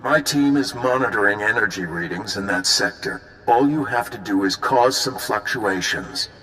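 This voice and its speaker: An actor playing a decisive, businesslike spy chief briefing an agent in a video game. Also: male voice, on the low side, lively intonation, normal speed, sharp tone, in a large hall, slight background noise, poor audio. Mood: brisk, urgent. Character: gruff, brisk male spy chief giving mission briefings. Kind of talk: video game cutscene acting.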